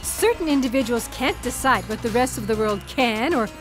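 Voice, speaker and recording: Inquisitive female sidekick, acted by a woman, expressive and swooping, for sci-fi audio theatre with prominent music behind. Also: on the high side, moderate pace, lightly acted. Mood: earnest, indignant. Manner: questioning, expressive. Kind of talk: comic sci-fi audio drama acting.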